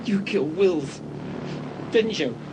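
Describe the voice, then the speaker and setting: A man's voice: high-pitched, lively intonation, takes it slowly, very noisy. A man playing a terrified young man cornered by a killer in a horror audio drama, panicked and defiant. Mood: fearful, accusing.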